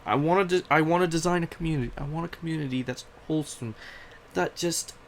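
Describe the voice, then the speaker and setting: A young man from Canada talks in a candid, rambling way, his accent drifting between British and Canadian. His voice carries a gravelly, fry-edged texture, and a faint ambient hiss sits behind him. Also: fairly high pitch, fast. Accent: British mixed with Canadian. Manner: candid, rambling, self-deprecating. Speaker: podcast host. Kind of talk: casual personal monologue.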